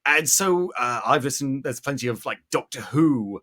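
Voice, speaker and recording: A man with a mid-pitched voice hosts a casual interview podcast. He is effusive and enthusiastic, and drops swear words easily into his chat. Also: expressive, normal speed, sharp tone. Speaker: podcast host.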